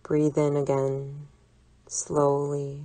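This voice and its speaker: Female meditation guide, low register, gently instructive and deliberate, in a dry, echo-free recording. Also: completely flat delivery. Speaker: psychologist leading a relaxation exercise. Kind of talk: guided imagery meditation narration.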